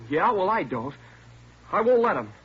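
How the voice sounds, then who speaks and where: A man's voice: high-pitched, sing-song, moderate pace. A young car thief in a vintage radio crime drama, his voice swinging widely between curt sneers and frantic pleas. The broadcast is dull and carries a faint hiss. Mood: pleading. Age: young adult. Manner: sullen and curt, breaking into frantic pleading. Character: scared twenty-year-old male car thief, sullen then pleading. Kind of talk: 1950s radio drama acting.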